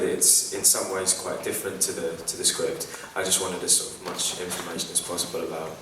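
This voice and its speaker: A young man on a theatre panel, answering questions in a halting way full of fillers. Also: low-pitched, background noise. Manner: halting, many fillers and restarts. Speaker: actor on a festival panel. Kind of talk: post-screening Q&A answers. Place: large theatre. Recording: echoey theatre from far off.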